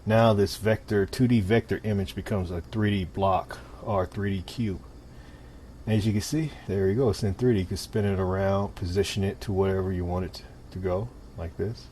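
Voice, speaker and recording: A man with a low voice gives an informal tutorial, talking through each step while he demonstrates. His delivery is casual and conversational. There is some noise behind him on the recording. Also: normal speed. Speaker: tutorial presenter. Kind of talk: casual software tutorial narration. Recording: clear steady background noise.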